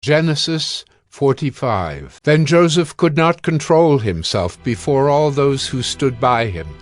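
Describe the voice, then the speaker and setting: Male narrator: solemn, measured scripture reading, mid pitch. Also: big pitch swings, dry recording.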